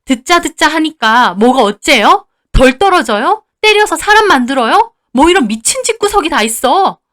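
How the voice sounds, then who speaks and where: A woman voicing a fiercely protective older sister in a dramatized story, shouting and confrontational. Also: high voice, big pitch swings, moderate pace, pitched above the performer's own voice. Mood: outraged.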